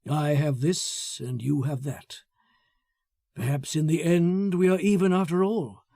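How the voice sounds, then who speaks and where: Audiobook role: male reader as a coldly possessive brooder, soft dark timbre, musing and intense. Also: a little high, fairly even intonation, a little slow, no room sound, clean studio sound. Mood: brooding, possessive. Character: brooding, coldly possessive man harbouring secret hatred.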